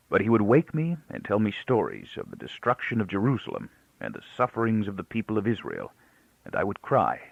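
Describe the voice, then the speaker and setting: Male narrator as grieving elderly rabbi: grave, halting, creaky, widely swelling and falling delivery. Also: lowish voice, dry recording, narrow, tinny audio, heavily acted. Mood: grieving, solemn. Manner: quiet, solemn, halting speech with emphatic repetition.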